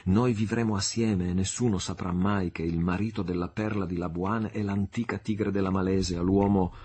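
A man reading aloud, voicing a passionate young noblewoman in an audiobook, with a husky voice and impassioned, climbing delivery. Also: low-pitched, fairly even intonation, moderate pace, darkish tone.

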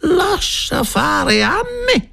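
A woman's voice: sits high, sing-song, extremely slow, vocal fry, pushed and bright, faint music behind, pitched above the performer's own voice, full character voice. A man voicing a spiteful, scolding headmistress in an audiobook reading, with a venomous, sneering manner. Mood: contemptuous. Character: spiteful female boarding-school headmistress.

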